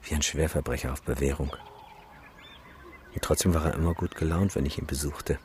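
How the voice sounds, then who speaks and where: An actor playing a weary, skeptical photographer in a naturalistic radio drama, with a sardonic manner. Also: male voice, extremely low pitch, fairly even intonation, brisk, darkish tone, a little hiss or hum. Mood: somber, reflective. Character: weary, skeptical male photographer documenting a disaster zone.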